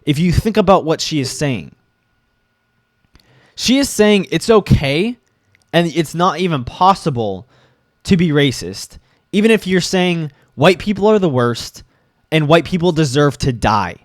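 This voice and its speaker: A man's voice: fairly high pitch, sing-song, dry recording. Young man ranting, sick and dry. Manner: agitated, halting with frequent restarts and fillers. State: sick, a bit congested. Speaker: vlogger. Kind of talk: ranting commentary.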